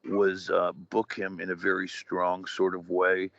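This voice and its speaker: A man's voice: sits low, monotone, a little slow, creaky, a bit bright, slight background noise. A man in his forties chatting casually on a podcast, rambling with lots of fillers and wrestling slang. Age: 40s. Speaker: guest, retired wrestler. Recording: dull lo-fi podcast audio, dry.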